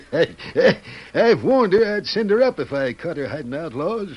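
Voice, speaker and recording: A man's voice: fairly high pitch, very animated, measured pace, husky, dull audio, heavily acted. A man playing a grizzled, folksy Old West sheriff with an in a radio drama. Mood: folksy, candid. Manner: folksy, plain-spoken. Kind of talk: old-time radio Western acting.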